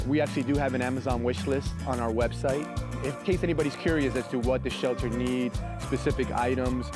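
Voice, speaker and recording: Man being interviewed over loud music. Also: medium pitch, fairly even intonation, brisk. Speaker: public information officer, interviewee. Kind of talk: informal explanatory interview.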